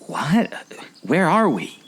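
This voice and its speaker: A man's voice: on the high side, wildly swooping pitch, slow, a bit bright, breathy edge, exaggerated voice. A man playing a hungry, distracted, good-natured sidekick in a scripted audio drama, speaking in a playful way. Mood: bewildered. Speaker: podcast co-host.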